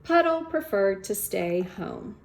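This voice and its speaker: A woman's voice: medium pitch, sing-song, takes it slowly, roomy sound, a little hiss or hum. A woman speaking informally as an elementary school teacher, chatting and reading a storybook aloud to children.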